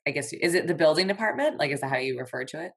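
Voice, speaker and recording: A woman's voice: sits low, animated, rapid-fire. A woman hosting a casual interview, asking questions informally with plenty of "like". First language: English. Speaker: interviewer, host.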